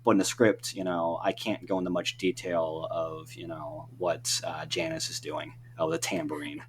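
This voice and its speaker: A man's voice: low voice, flat delivery, moderate pace. A man talking casually as an interview guest, rambling and breaking off his own sentences with frequent filler phrases. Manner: rambling, self-interrupting, peppered with "you know" and "like". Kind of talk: casual podcast interview chat. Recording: clear background noise with hum.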